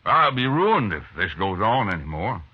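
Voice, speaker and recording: Low, husky, troubled cattle rancher. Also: male voice, sing-song, no room sound. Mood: pleading. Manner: halting, pleading. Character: stubborn, desperate cattle rancher, proud adult man. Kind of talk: 1950s radio western acting. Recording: dull old radio recording.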